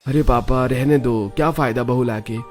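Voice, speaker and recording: A man's voice: medium pitch, moderate pace, darkish tone, faint music behind, lightly acted. A man voicing an exasperated, reluctant young village bachelor son in a cartoon, protesting as he talks. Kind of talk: Hindi cartoon dialogue.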